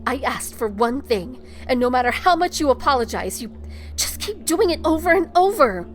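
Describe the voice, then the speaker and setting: A woman's voice: high voice, very animated, normal speed. A woman playing an exasperated, hurt younger sister in an audio drama, scolding and emphatic. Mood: hurt, disappointed.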